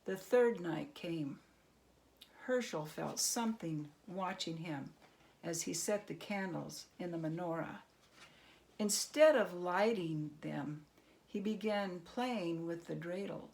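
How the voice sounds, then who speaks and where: A woman's voice: lowish voice, slow pace, gravelly, darkish tone. A woman reading a children's story aloud as a scripted narration. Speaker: storybook reader.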